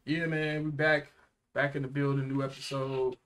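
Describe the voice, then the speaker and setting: A man's voice: mid-range voice, level tone, moderate pace, a bit bright, slight background noise. A young American man chatting casually and in slang as co-host of a reaction video. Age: young adult. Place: small indoor room.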